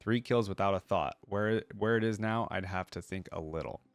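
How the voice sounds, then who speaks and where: A man reading a story aloud as a cocky, playful young female assassin, with cool, mocking, measured delivery. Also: fairly low pitch, dry recording, lightly acted. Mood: coolly confident. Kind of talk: first-person story read-aloud.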